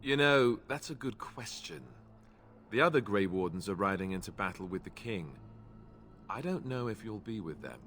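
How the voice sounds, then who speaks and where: A young human warrior from a fantasy game, with a lowish, forceful voice. He speaks candidly and casually, with a wry streak of understatement. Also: animated, normal speed, a little hiss or hum. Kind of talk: video game voice acting.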